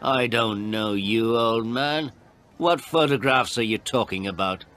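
A man's voice: mid-range voice, unhurried, a bit bright, pitched above the performer's own voice, natural voice. A man voicing a dismissive, indignant photographer with a scornful, challenging manner in a storybook reading. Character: dismissive, indignant male photographer, quick to accuse.

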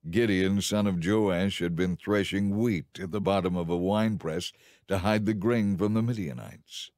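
Low-voiced man narrating scripture. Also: fairly even intonation, moderate pace, darkish tone, no room sound, studio quality. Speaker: audiobook narrator. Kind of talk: dramatized scripted Bible narration.

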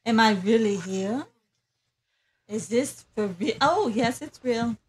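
A woman's voice: a little high, lively intonation, slow. A woman dubbing a dazed, scatterbrained young female fighter in a comedy game fan dub, blurting silly non sequiturs. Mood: dazed, puzzled.

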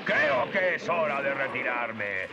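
A man playing a bad-tempered, scheming sorcerer villain in a dubbed cartoon, with a gravelly, menacing, theatrical delivery. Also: medium pitch, huge pitch swings, slow, muffled recording, heavily acted. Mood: angry, scheming. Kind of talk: cartoon dub acting.

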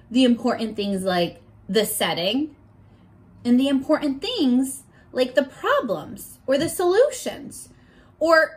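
A young woman teaching a read-aloud lesson to small children, asking questions in an animated way and pausing for answers. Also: high-pitched. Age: young adult. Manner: animated, exaggerated, asks questions and pauses for answers. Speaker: elementary school teacher. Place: bedroom, indoors. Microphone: camera a short distance in front.

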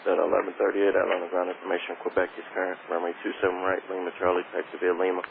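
A man's voice: low voice, monotone, racing, creaky. A man speaking in a firm, matter-of-fact way as a ground controller over aviation radio. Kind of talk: radio instructions. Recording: noisy narrowband air traffic radio.

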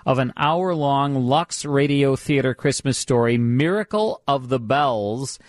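A man's voice: medium pitch, lively intonation, unhurried, dry recording, clean studio sound. A man in his fifties hosting a radio show casually, with a folksy, joking way of talking. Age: 50s. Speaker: radio host.

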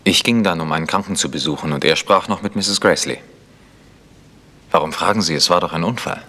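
Low, bright-voiced guarded vicar. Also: male voice, a little fast. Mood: uneasy. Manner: formal, guarded. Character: village vicar, male, earnest, guarded, defensive. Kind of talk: German radio crime drama acting. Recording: noisy recording with clicks.

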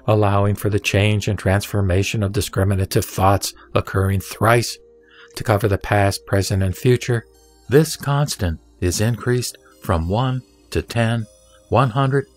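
Man formally reciting scripture over music. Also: lowish voice. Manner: measured, formal recitation in short deliberate phrases. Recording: background music under the voice.

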